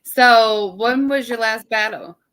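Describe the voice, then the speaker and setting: A woman hosting a podcast live stream in a loud, animated casual chat. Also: on the high side, slow, poor audio. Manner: loud, animated, laughs often. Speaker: podcast host. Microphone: live stream setup.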